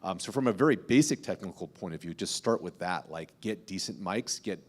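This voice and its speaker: A man's voice: sits low, animated, brisk. A man speaking as a podcast host on a panel, explaining things in an informal, emphatic way. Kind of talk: panel discussion talk, explanatory.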